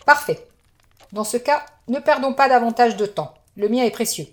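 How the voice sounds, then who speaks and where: A woman's voice: on the high side, moderate pace, lightly acted. Woman playing an icy, mocking sorceress. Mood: cool. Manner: icy, amused, haughty. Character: cold, mocking female sorceress.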